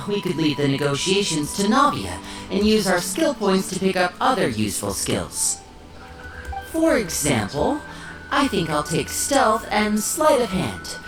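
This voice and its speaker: An actor playing a clever young man who thinks things through and plans ahead. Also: high voice. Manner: thoughtful, measured, planning ahead. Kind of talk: game voice acting. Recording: distant echoey game audio with music.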